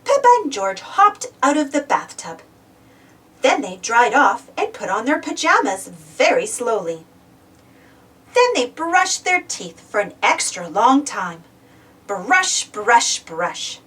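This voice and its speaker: Slow, very animated high-voiced woman storyteller. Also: breathy edge, recorded from a distance. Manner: expressive, deliberate storytelling for young children. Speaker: children's storybook narrator. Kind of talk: read-aloud picture book narration.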